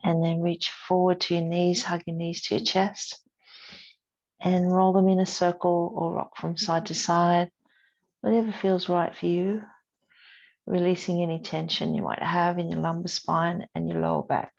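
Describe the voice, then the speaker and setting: A woman yoga instructor leading a guided relaxation practice in a soothing, unhurried manner, with gentle pauses between short cues. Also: on the low side, little pitch movement, gravelly, dull audio.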